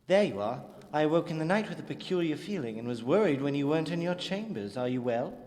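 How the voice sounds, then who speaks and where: Big reverb, male actor as noble brother, formal, courteous, lively intonation, fantasy audio drama. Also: fairly high pitch, quick pace, close to an everyday voice. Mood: concerned. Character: polite, protective young nobleman, concealing impatience. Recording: long stone-hall reverb.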